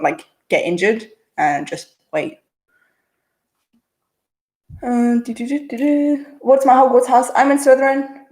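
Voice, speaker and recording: A woman's voice: medium pitch, darkish tone. A woman with a mixed international-school accent, chatting casually as she reads viewer questions aloud and answers them on a livestream. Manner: chatty, answers quickly with frequent uh and um fillers. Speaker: channel host answering viewer chat.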